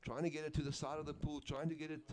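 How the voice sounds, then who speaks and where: Guy doing sports commentary, laid back, lots of uhs, mellow voice. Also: a little high, level tone, racing, a little hiss or hum. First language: Afrikaans. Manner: easygoing, chatty, with frequent uhs and name slips. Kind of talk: live play-by-play commentary.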